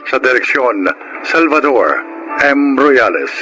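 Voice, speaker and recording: A man's voice: medium pitch, big pitch swings, slow, low-quality recording. Male radio drama announcer over music. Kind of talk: formal scripted announcement.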